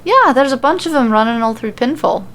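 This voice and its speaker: Brash Irish warrior woman, as a female narrator plays her, nonchalant and dismissive, raised pitch, fantasy audio story. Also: animated, a little fast, fairly bright tone. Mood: nonchalant, blunt. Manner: nonchalant, blunt.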